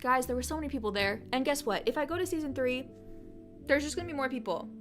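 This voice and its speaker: A woman in her twenties chatting on a livestream, telling excited stories in a casual, rambling way. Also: sits high, quick pace, music in the background. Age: 20s.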